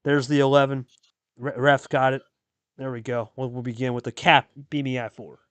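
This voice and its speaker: A man's voice: medium pitch, level tone, moderate pace, fairly bright tone, clear, resonant tone, no room sound. A man hosting and calling a card game match in a casual, chatty play-by-play style, repeating phrases for emphasis. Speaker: host and play-by-play commentator.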